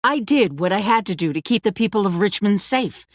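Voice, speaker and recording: An actress playing a calculating, self-righteous leader of a survivor community in a video game, speaking in a measured, condescending, politician-like way. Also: female voice, medium pitch, animated, dry recording, clean studio sound, close to an everyday voice. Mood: composed, authoritative. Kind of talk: dramatic video game voice acting.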